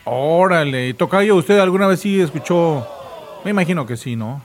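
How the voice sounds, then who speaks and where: A man's voice: a little high, expressive, normal speed, faint music behind. A man with a Mexican accent hosting a morning radio show in casual chat, addressing his co-hosts and listeners conversationally.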